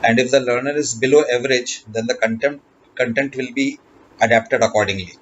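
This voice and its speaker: A man giving an explanatory lesson as a lecturer, speaking haltingly and rephrasing as he goes. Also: mid-range voice, steady tone. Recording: dull lo-fi recording with background noise.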